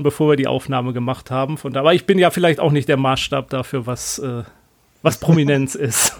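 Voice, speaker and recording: A man's voice: fairly high pitch, dry recording. A man hosting a casual podcast conversation, chatty and hesitant, with many fillers and restarts. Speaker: podcast host.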